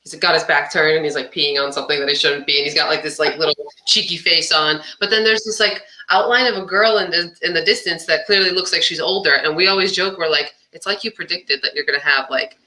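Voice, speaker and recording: A very bright, sharp-toned young woman talks freely as a guest, filling her sentences with "like" and laughing easily. She was born in Albania and grew up near Boston, and her casual chat runs loose and conversational. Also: deep voice, fast, few pauses, poor audio. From born in Albania, raised near Boston. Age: young adult. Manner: chatty, fillers of "like", laughs often. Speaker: musician guest.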